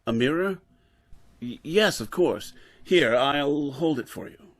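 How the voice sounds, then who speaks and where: A man playing a dedicated, weary scientist professor in old-time radio drama style, speaking in a measured, formal way. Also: fairly high pitch, animated. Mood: calm, assured.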